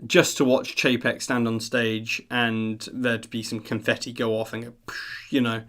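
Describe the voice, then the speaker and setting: A man's voice: medium pitch, little pitch movement, moderate pace, fairly bright tone. A man hosting a live stream, chatting casually in an earnest, rambling, self-deprecating way. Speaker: live stream host of a theme park news channel.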